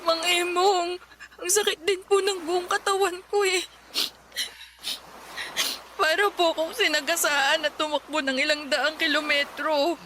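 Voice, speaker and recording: A very high, bright voice, a meek, ailing young Filipina in a radio drama. She sounds plaintive and polite, with faint noise behind her. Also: fairly even intonation, a little slow, long pauses, full character voice. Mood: pained, plaintive. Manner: plaintive, hesitant, respectful. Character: suffering, meek, polite young female office worker.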